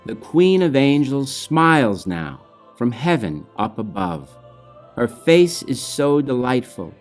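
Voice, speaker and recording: Man, storybook verse reader, very varied intonation, unhurried, soft phrase endings, music behind. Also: mid-range voice. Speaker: author reading own children's book.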